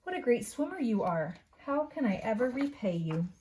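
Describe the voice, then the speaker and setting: A woman voicing a grateful, warm-hearted mother bird in a children's storybook, chirpy and effusive. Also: on the high side, sing-song, normal speed, slight background noise.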